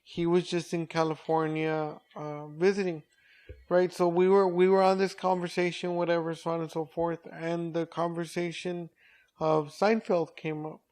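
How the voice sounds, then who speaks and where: A man on a comedy podcast telling an anecdote in loose, casual chat, stopping and restarting as he goes. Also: on the high side. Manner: animated storyteller, halting restarts. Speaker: podcast co-host or guest.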